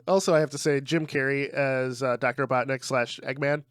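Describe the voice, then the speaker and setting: A man co-hosting a casual podcast, swearing freely, laughing often and talking excitedly. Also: medium pitch, brisk, smooth, no echo, studio quality. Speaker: podcast co-host. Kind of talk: casual chat.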